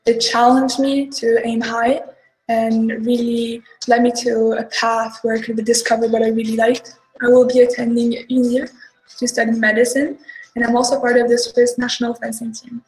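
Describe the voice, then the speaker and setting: A young woman, a former student, speaking steadily and calmly in a school promotional video. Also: a little high, moderate pace, soft background music, low-quality recording.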